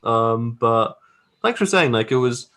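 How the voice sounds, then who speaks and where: A man's voice: medium pitch, expressive, unhurried, bright, forceful tone. A man chatting casually as an interview guest, modest and hesitant, circling back over his phrases. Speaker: guest graphic artist.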